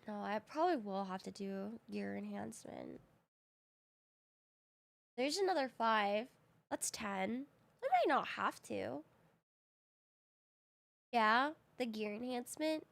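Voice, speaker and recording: A woman's voice: a little high, slow, creaky, long pauses. A woman live streaming a game in casual, slangy chat, her voice a little groggy from having recently woken up. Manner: slangy, informal.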